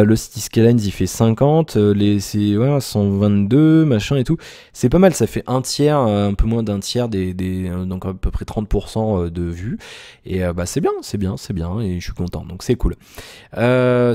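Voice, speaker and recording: Tired young guy chatting in his daily vlog, mellow voice, lots of euh and pff. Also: mid-range voice. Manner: rambling, many fillers and sighs, informal slang. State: tired, yawning. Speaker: YouTuber giving daily tech news and channel update. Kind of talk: casual vlog chat.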